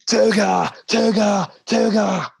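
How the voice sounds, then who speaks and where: A man playing a goofy, meddling sea god buddy in a comic staged reading, casual, slangy and boisterous, with a husky voice. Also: high-pitched, steady tone, slow, full character voice. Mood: rowdy shouting.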